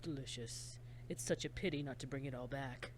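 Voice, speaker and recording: Woman putting on a hushed, gloating, cold-blooded killer man. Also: rumbling low, brisk, husky, voice pushed down. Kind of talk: visual novel read aloud.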